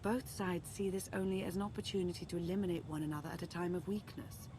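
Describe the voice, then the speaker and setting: A woman plays a female Sith strategist from a sci-fi video game. Her voice sits low for a woman, and she speaks formally and with measured care, earnest and composed. A faint ambient noise runs behind her. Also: steady tone, natural voice. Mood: grave, composed. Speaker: advisor and ally. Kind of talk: scripted game dialogue, briefing.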